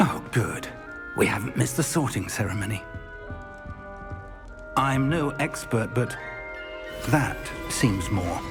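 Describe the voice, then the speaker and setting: A man voicing a kindly, scholarly older wizard professor in a video game, earnest, thoughtful and gently formal. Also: mid-range voice, expressive, takes it slowly, long pauses. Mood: purposeful. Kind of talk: cinematic video game acting. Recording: music under the voice.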